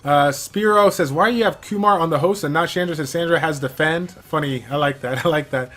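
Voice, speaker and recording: A man hosting a casual game livestream, reading viewer questions from chat and answering in a relaxed, filler-heavy way. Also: a little high, brisk. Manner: chatty, many fillers, reads viewer questions aloud. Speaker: stream host.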